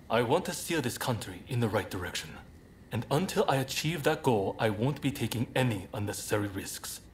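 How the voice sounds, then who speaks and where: A man playing an earnest, soft-hearted samurai leader in a video game, speaking in measured, polite, formal phrases. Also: mid-range voice, slight background noise, lightly acted. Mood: sober, resolute. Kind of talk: video game cutscene acting. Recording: game audio with clear background ambience.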